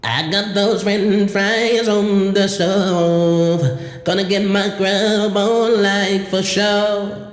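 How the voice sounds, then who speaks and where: A man singing a slow, drawn-out demo vocal line, treated with reverb and pitch correction. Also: fairly even intonation. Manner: slow, drawn-out sung phrases. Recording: heavy reverb and backing music.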